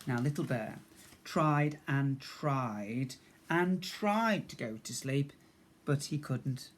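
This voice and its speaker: A man narrating a children's storybook like a teacher, in a measured, expressive way. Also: mid-range voice.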